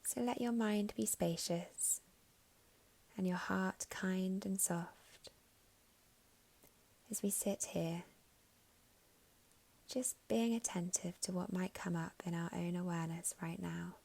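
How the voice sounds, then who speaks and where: Low, soft, creaky woman guiding meditation. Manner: soft, soothing, unhurried with long pauses. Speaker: meditation guide. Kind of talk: guided meditation narration.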